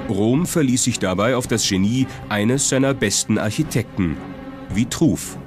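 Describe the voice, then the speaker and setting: A man's voice: medium pitch, expressive, unhurried. A man reads scripted documentary narration. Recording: music behind the voice. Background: music.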